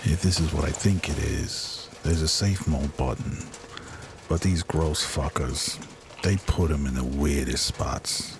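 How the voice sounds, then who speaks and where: A man voicing a gruff, world-weary, aging detective who grumbles and swears in an amateur noir radio drama. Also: very deep voice, unhurried. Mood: knowing. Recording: noisy amateur recording.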